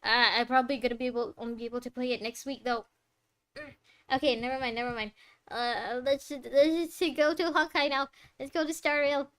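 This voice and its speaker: A young woman giving casual, excitable live commentary as a streamer, often exclaiming. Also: sits high, brisk, smooth. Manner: expressive, exclaiming. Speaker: streamer reacting to game trailers. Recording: loud game music behind. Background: game trailer music.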